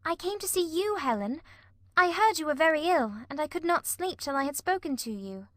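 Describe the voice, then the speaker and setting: Woman as an anxious, high-pitched little girl. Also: lively intonation, fairly quick, no echo, studio quality. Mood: hushed, concerned. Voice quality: soft, girlish. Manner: earnest, pleading, questioning. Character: anxious, devoted young girl at friend's bedside. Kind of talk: dramatic audiobook reading.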